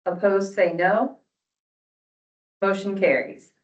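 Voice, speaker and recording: A woman's voice: mid-range voice, steady tone, slow pace. A woman chairing a formal commission meeting, running procedure in set, formulaic phrases. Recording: roomy, distant, muffled meeting-room audio.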